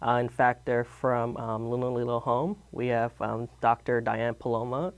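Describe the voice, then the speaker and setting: A man hosting a community interview show, asking questions in a friendly, halting way with many fillers. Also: medium pitch, fairly even intonation. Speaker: show host.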